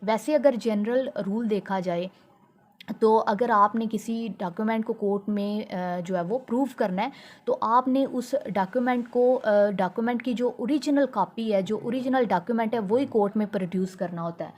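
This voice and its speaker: A woman's voice: a little high, normal speed, a little mellow. A woman with a Pakistani accent lectures in an explanatory, didactic way, repeating and rephrasing points and mixing in English legal terms. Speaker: law lecturer.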